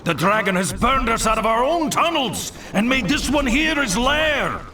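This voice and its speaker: An actor playing a proud, stalwart dwarf warrior in a fantasy game, speaking in an emphatic, battle-ready way. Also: male voice, very high voice, big pitch swings, moderate pace, pushed and bright, reverberant, far from the mic, slight background noise, full character voice. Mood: rousing, indignant. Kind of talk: fantasy game unit and cutscene acting.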